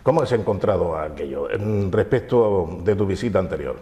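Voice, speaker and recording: Mellow low-voiced TV interviewer. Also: male voice, expressive, moderate pace, in a large hall. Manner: hesitant, with many fillers. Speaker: TV interviewer host. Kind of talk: TV interview conversation. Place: local TV studio.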